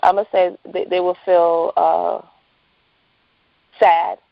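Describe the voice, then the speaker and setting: A woman's voice: lowish voice, level tone, takes it slowly, vocal fry, dry recording. A woman chatting casually as a guest in a phone interview. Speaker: interview guest, writer and entrepreneur. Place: phone call. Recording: dull lo-fi phone line.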